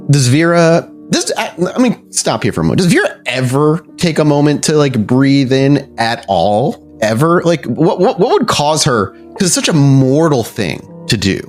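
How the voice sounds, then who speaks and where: A man's voice: medium pitch, big pitch swings, normal speed, few pauses, soft background music. A man working as game master, improvising descriptive, cinematic narration for a tabletop game and feeling out his words aloud.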